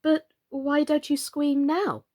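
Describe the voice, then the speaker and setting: Woman playing a high-voiced polite little girl. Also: flat delivery, slow pace, a little mellow. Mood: curious. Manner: polite, questioning.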